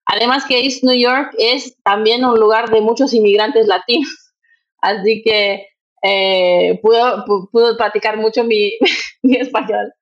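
A woman's voice: fairly high pitch, steady tone, a little slow. Brazilian woman in a dull recording. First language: Portuguese. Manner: thinks aloud, frequent tag questions. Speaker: interview guest, nonprofit executive director. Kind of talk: conversational interview answers.

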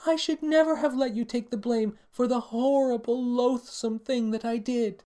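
A man voicing tearful, ashamed young princesses in a storybook, wailing and crying with drawn-out words. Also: very high-pitched, steady tone, warm tone, voice pushed up. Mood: tearful, remorseful.

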